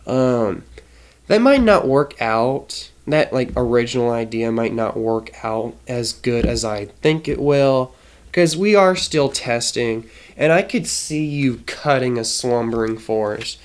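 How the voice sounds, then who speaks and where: A man's voice: medium pitch, animated, takes it slowly, smooth. A man with a nasal, stuffy voice from a cold, casually explaining a card game deck with many hesitations. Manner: hesitant, frequent ums and restarts. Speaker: trading card game content creator.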